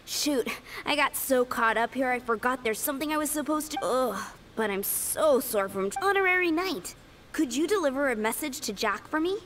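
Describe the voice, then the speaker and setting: A woman's voice: high-pitched, expressive, moderate pace, fairly bright tone. A woman playing an eager, earnest young would-be knight in an anime-style video game. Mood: tired, friendly. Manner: enthusiastic, earnest.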